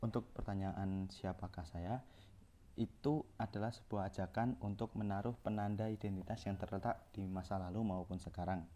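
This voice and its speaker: A man's voice: fairly low pitch, steady tone, normal speed, dark tone, a little hiss or hum. A young man, a communication student, reading a course presentation aloud and often stopping to correct himself mid-word. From Central Java, Indonesia. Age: young adult. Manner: reads from notes with frequent self-corrections and restarts.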